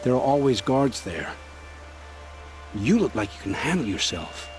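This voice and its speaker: An actor playing a remorseful male traitor in a video game, talking in a slow, haunted, pleading way. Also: mid-range voice, big pitch swings, over music.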